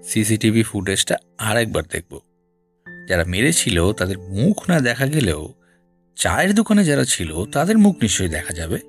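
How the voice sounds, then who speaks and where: Gravelly, probing detective, music behind. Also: male voice, lowish voice, big pitch swings. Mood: determined. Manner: probing, questioning, deliberate. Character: shrewd, persistent male Bengali detective, senior partner. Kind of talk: audio story detective drama acting.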